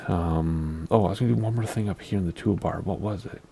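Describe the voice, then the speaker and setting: A man's voice: deep voice, brisk, gravelly, dark tone, breathy edge. A man hosting a flight simulator gameplay video in casual commentary, his voice scratchy from allergies, rambling and easily distracted and laughing at his own slips. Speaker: flight sim gameplay host.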